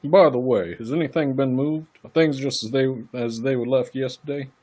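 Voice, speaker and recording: A man playing a brisk county attorney in a radio play, husky and firmly questioning. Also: mid-range voice, a little mellow, close to an everyday voice. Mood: probing, official. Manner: questioning, in control.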